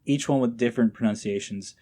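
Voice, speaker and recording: Man chatting casually, mid-pitched voice. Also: quick pace, warm tone. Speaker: voice actor guest on podcast. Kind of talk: casual chat.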